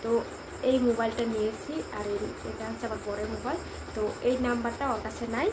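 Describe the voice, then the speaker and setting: A woman's voice: a little high, fairly even intonation, fast talker. A woman vlogger chatting casually to her viewers, recorded on a phone with noise behind her. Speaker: vlogger hosting a prank call video. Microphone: phone camera.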